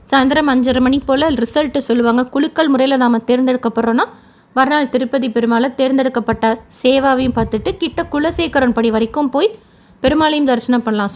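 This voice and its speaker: Lady host doing an informal update, really high voice, drops in english words. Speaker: channel host giving pilgrimage updates. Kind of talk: informal news update narration.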